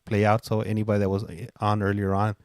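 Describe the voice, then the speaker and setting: A man's voice: fairly low pitch, completely flat delivery, fast talker, clean tone, no echo, studio quality. A man chatting informally and slangily as host of a live stream. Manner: slangy, addressing listeners and caller informally. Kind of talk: casual live-stream chat.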